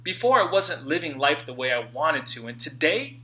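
A man in his late thirties reading his own motivational book aloud in an earnest, conversational way with dramatic pauses. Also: fairly high pitch, very animated, sharp tone. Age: late 30s. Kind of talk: personal audiobook narration, motivational.

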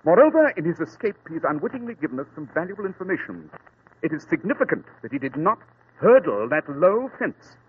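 An actor playing a sharp, confident detective in an old-time radio drama, with clipped, formal diction. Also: male voice, a little high, big pitch swings, brisk. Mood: confident, lively. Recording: muffled, noisy old radio recording.

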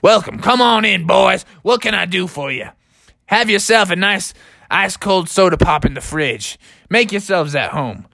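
A man voicing a crotchety old grandfather frog who stammers, grumbles and then turns grave and hushed. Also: sits high, lively intonation, measured pace, creaky, no room sound, clean studio sound, voice pushed up, exaggerated voice. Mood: welcoming. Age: older.

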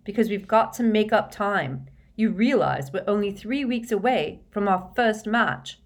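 A woman voicing an excited, bossy teenage girl sports captain in a storybook reading, brisk and emphatic. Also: mid-range voice, voice pushed up, lightly acted. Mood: excited, urgent.

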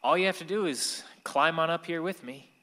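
An actor playing a gentle, earnest, watchful grandson in a radio play. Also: male voice, a little high, brisk. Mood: reassuring. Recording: long hall-like reverb.

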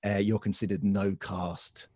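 A man's voice: deep voice, flat delivery, unhurried, mellow, breathy edge, no room sound, studio quality. A man co-hosting a podcast, chatting casually, joking and rambling as he checks things. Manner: rambling, jokey, trails off while checking stats. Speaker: podcast co-host.

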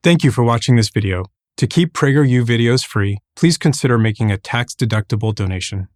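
A man reading a short closing appeal as an announcer in an even, formal way. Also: medium pitch, a little fast, no echo, clean studio sound. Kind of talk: read donation appeal.

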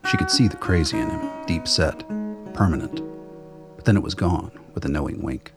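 Male narrator for a radio play, extremely deep voice, reading scripted prose over clearly audible guitar music. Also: wildly swooping pitch, moderate pace.

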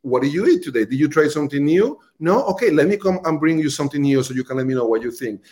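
A man's voice: on the high side, lively intonation, fast talker, a little mellow. A man with a Colombian accent, a restaurant marketing executive, explaining earnestly as an interview guest, with frequent fillers and repeated words.

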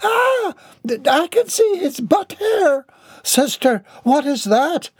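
A man's voice: big pitch swings, slow pace, dry recording, studio quality, heavily acted. Man mimicking a squealing, horrified little child. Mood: shrieking horror. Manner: squealing, shrill. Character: shrieking, horrified small schoolchildren.

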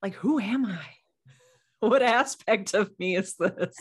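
A woman with a medium-pitched voice chats as an interview guest. She tells stories in a playful, conversational way, acting out little remarks as she goes. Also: slow, slightly rough. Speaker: guest author and podcast producer. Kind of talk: casual interview storytelling.

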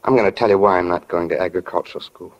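An actor playing an earnest, bashful small-town teenage boy in love, halting and sincere, in a 1940s radio drama. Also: sits low, fairly even intonation, muffled recording. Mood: earnest, shy.

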